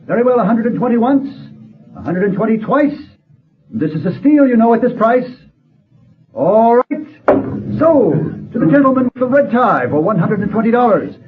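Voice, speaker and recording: A man playing a brisk, formal auctioneer coaxing bidders with polished sales patter in a radio drama. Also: extremely high pitch, lively intonation. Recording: phone-like old radio recording, crowd murmur.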